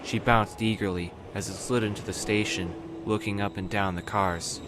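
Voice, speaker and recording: A woman narrating a fan story in a steady storytelling manner. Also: very deep voice, moderate pace, background noise.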